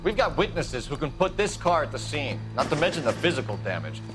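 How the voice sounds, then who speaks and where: A stiff, earnest 1940s detective. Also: male voice, a little high, sing-song, fairly quick, music in the background. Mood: tense. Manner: clipped, formal questioning. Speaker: police detective. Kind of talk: video game cutscene acting.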